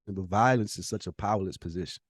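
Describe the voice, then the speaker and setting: A man's voice: sits low, quick pace, slightly rough. Guy hosting a podcast, slangy and emphatic, asks lots of rhetorical questions, dry sound no echo. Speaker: podcast host. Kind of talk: opinionated casual discussion.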